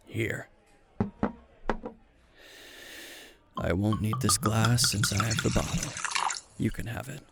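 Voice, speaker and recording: A man playing a gruff, weary, tipsy hero teacher who is dryly flirtatious, in an intimate, deadpan ASMR roleplay with a gravelly voice. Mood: dry, teasing. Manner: low-key, dry, deadpan, unhurried.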